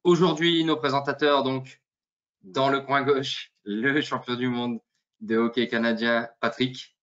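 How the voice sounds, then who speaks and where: A man's voice: mid-range voice, steady tone, measured pace, fairly bright tone. A man hosting a webinar, chatty and joking, with many fillers, heard over a video call. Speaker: webinar host. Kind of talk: casual webinar presentation. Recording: dull lo-fi call audio.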